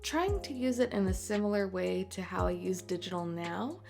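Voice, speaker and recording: A woman in her late twenties, an artist and tattooer, chatting in a voice-over over a speedpaint, raspy and congested from a cold, talking softly with many ums. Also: mid-range voice, expressive, moderate pace. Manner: soft, rambling, many ums and uhs. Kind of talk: voice-over chat during a speedpaint. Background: faint music.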